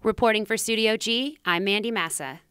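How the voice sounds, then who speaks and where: A woman's voice: medium pitch, fairly quick, a bit bright, slight background noise. A woman reporter delivering scripted news narration for a television package.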